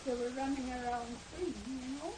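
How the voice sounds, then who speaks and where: A Scottish woman around ninety, slowly and hesitantly recalling her early life in an oral history interview. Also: sits high. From Scotland. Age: about 90. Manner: slow, hesitant, reminiscing with fillers. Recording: hissy 1970s tape recording.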